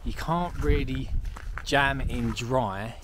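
A man's voice: mid-range voice, slow, noisy background. A young man, casually explaining work on his self-build on an outdoor building site, recorded on a handheld action camera. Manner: practical, explaining on the go.

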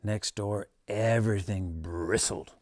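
A man in his sixties narrates a picture book expressively. His voice sits a little low and moves slowly and deliberately, with dramatic emphasis. The sound is dry. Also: no room sound. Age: 60s. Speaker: storybook reader. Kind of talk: expressive children's story narration. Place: living room at home, on a sofa.

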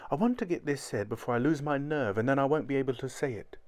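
A man's voice: medium pitch, fast talker, a little mellow, pitched above the performer's own voice, lightly acted. A man voicing an earnest, confiding wife who speaks with emotion and tenderness in an audiobook story. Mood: nervous, confessing. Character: earnest, nervous wife confessing, then gushing with tenderness. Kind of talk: audiobook character dialogue.

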